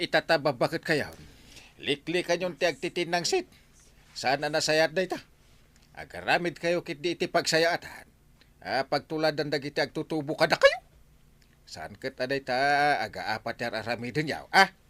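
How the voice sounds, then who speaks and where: A man's voice: on the high side, animated, normal speed, pushed and bright. A man with an Ilocano Filipino accent playing an earnest, peacemaking village captain in a radio comedy-drama, exhorting expressively. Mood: earnest counsel.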